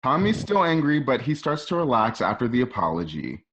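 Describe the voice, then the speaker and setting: A man's voice: medium pitch, big pitch swings, brisk, fairly bright tone. A man narrating an amateur radio play, reading out screenplay stage directions. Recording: dull lo-fi home recording.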